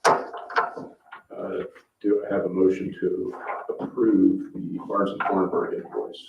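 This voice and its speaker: A man with a very low voice chairs a town board meeting in an informal way. He sounds distant from the microphone in an echoey room, and the sound is slightly muffled. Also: flat delivery, slow pace, vocal fry, a little hiss or hum. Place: indoor public meeting room.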